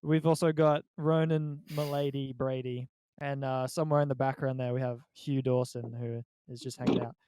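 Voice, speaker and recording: A man's voice: mid-range voice, little pitch movement, moderate pace, warm tone, smooth, no echo. A young man with an Australian accent hosting a casual group podcast chat. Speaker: podcast host.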